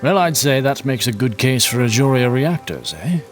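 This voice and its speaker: An actor playing a sly, amused schemer with an insinuating manner in an audio drama. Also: male voice, medium pitch, lively intonation, moderate pace, music in the background, natural voice. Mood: sly amusement.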